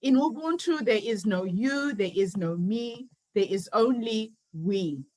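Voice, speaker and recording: A woman's voice: on the high side, animated, measured pace, a little mellow, rough recording. A woman, giving an earnest motivational speech built on personal stories. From Zimbabwe. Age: 50s. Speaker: summit founder and speaker.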